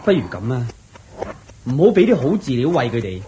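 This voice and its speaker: Guy acting an earnest army general in a radio play, hong kong cantonese, swingy mellow voice, protests and questions a lot. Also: medium pitch, very animated, fairly quick, slight background noise, natural voice. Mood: earnest, pleading. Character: earnest male army general devoted to his horses.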